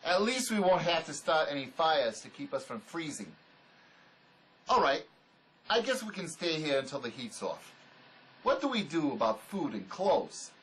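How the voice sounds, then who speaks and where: A man acting the part of a gruff, skeptical, sarcastic escaped convict and armed robber in a drama. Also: a little high, very animated, moderate pace, fairly bright tone, a little hiss or hum, poor audio. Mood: wary, grumbling. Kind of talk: dramatic script performance.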